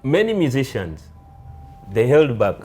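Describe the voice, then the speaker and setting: A man interviewing conversationally, repeating words haltingly as he forms his points. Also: medium pitch, wildly swooping pitch, warm tone, soft background music.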